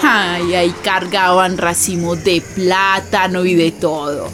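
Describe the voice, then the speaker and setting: Unhurried, confiding Colombian storyteller. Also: female voice, on the low side, fairly even intonation, loud music behind the voice. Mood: fond, reminiscing. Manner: confiding, colloquial. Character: chatty, earthy Colombian woman storyteller recalling a friend. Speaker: narrator. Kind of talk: conversational radio drama narration.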